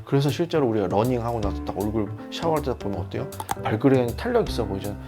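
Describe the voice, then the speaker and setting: A skin doctor talks viewers through a cosmetic treatment at a quick clip, his voice carrying a gravelly rasp. He explains steadily and gives practical advice. Music plays clearly behind him. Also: medium pitch, animated. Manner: explanatory, advising with examples. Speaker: dermatologist.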